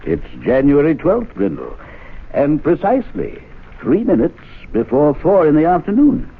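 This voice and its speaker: An actor playing a genteel, wry, probing gentlemen's club president in a 1970s radio drama. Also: male voice, on the high side, huge pitch swings, moderate pace, breathy edge, heavily acted. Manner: urbane, probing, ornate phrasing. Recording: tinny old radio broadcast.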